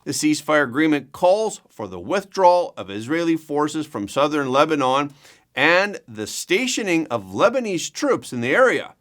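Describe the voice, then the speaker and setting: Animated male news anchor, higher-pitched. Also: normal speed. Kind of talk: scripted news narration.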